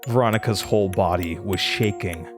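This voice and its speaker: A man's voice: low-pitched, normal speed, lightly acted. A man playing a shaken young college student, narrating a horror audio drama in a dramatic, haunted way that stammers under stress. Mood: dread. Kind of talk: horror audio drama first-person narration, acting out dialogue. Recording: music bed under the voice. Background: music.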